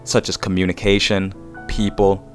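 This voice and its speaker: Young adult male video essayist, fairly deep voice, scripted read over a guitar music bed. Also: flat delivery, moderate pace. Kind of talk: scripted narration.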